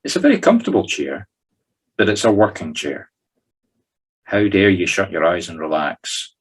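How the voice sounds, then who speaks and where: A man's voice: sits low, huge pitch swings, moderate pace, husky. A Scottish man leading a guided meditation, talking listeners through each step in an informal, conversational way. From Scotland. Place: recorded in a group video call.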